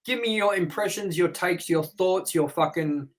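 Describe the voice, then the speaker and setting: Higher-voiced man co-hosting a review chat. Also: moderate pace, low-quality recording. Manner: reads figures, then prompts partner with questions. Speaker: co-host.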